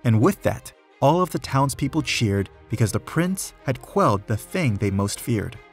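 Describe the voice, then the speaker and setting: A man reading a rhyming children's story aloud, in a measured, rhythmic storytelling way with clear emphasis. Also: mid-range voice, very animated, soft background music. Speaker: storybook reader.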